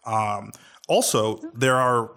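A man's voice: mid-range voice, slow pace, bright, forceful tone, clean tone. A man co-hosts a casual podcast chat, talking with eager enthusiasm. His voice sits in an ordinary mid range. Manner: enthusiastic, chatty. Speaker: podcast co-host.

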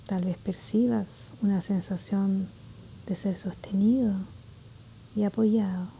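A woman guiding a meditation in a calm, gentle, measured way, with a soft voice that turns breathy at phrase ends. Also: medium pitch, a little hiss or hum, low-quality recording. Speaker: meditation guide. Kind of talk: guided meditation narration.

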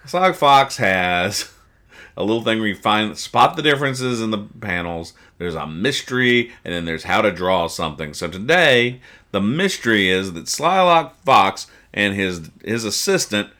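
A man hosting a podcast, giving casual comic commentary with mock-serious, exasperated deadpan nitpicking. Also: on the low side. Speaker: podcast host. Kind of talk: comedic casual commentary reading comic strips aloud.

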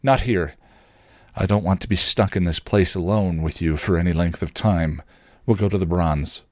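A man's voice: low-pitched, normal speed, darkish tone, dry recording, lightly acted. An angry young vampire slayer played by a man reading a story aloud. She is curt, tight and domineering. The recording is dry and close. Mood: curt, scornful.

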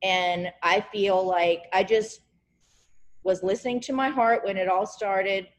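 A woman's voice: mid-range voice, fairly even intonation, measured pace, slight background noise. A woman hosting a podcast over a video call, talking casually in a rambling, encouraging way and often searching for words. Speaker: podcast host.

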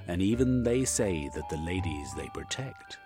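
A man voicing an anxious, overwrought gentleman in an audiobook, with a dramatic, exclamatory way of talking. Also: sits low, expressive, moderate pace, music in the background. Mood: troubled, earnest. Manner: dramatic, exclamatory, with trailing 'eh?'. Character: Velvin, anxious, overwrought gentleman confessing his dreams. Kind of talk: audiobook character acting.

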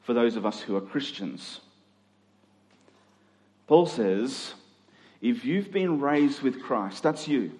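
A man preaching an expository sermon to a congregation, conversational in tone with deliberate, emphatic phrasing. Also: mid-range voice, lively intonation, darkish tone. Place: large reverberant room, live church service. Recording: reverberant hall.

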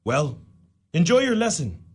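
A man's voice: a little high, huge pitch swings, a little slow, exaggerated voice. A man voicing a mocking, brawny young male prankster who teases with sneering mock politeness, in a radio drama. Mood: taunting. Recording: clear background noise.

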